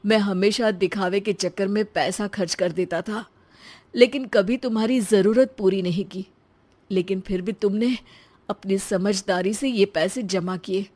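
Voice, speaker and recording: A woman's voice: mid-range voice, darkish tone, lightly acted. A woman playing a showy, free-spending husband in a story, talking emphatically. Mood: remorseful. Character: showy, free-spending adult son and husband, easily flustered.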